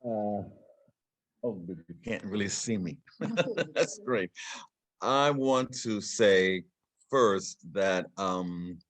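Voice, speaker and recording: Low-voiced guy being the guest, wry and joking about himself. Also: a bit bright. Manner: wry, self-deprecating humor. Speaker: guest speaker. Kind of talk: live online conversation.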